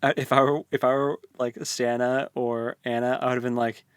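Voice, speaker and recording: A man's voice: mid-range voice, flat delivery, normal speed, smooth, dry recording. A man co-hosting a podcast, chatting about a book in an informal, slangy, loosely phrased way. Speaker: podcast co-host. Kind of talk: casual book discussion chat.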